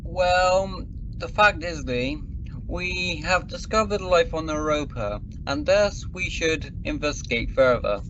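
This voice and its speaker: A man playing an earnest, curious explorer-scientist in an amateur sci-fi radio play, measured and portentous in delivery. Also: on the high side, fairly bright tone. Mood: intrigued, resolute. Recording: muffled recording with faint noise.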